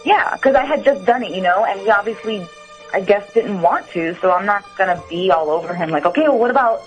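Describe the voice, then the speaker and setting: A woman's voice: medium pitch, expressive, a little fast, soft background music. A young woman calling in to a radio show, telling a personal story casually and hesitantly. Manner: hesitant, many "like" and "you know". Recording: dull phone line into radio.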